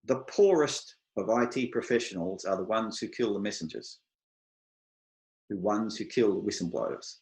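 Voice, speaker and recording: A middle-aged man with an Australian accent gives an advice talk to an online meetup. He keeps his pace slow and his words clear, with touches of dry humour. His voice sits on the lower side. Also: lively intonation, a little mellow, echoey room. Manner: slow, deliberate, clear delivery for non-native listeners. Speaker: IT professional speaking at an online meetup.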